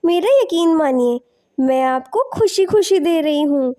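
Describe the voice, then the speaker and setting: A woman voicing a grateful, insistent village mother in an animated moral story, polite and earnest. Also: high voice, unhurried.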